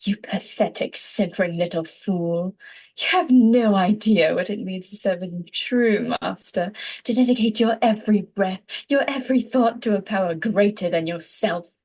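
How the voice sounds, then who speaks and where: A woman's voice: mid-range voice, normal speed, darkish tone, close to an everyday voice, rough recording. A woman voicing an unhinged evil witch, taunting with melodramatic scorn. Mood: scornful taunting.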